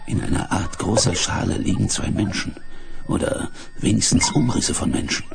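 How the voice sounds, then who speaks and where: Deep, creaky-voiced protective space father. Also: moderate pace, soft background music. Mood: grave, steady. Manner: dramatic, urgent, addresses superiors respectfully. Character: protective middle-aged father, hardy space adventurer. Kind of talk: 1970s German radio play.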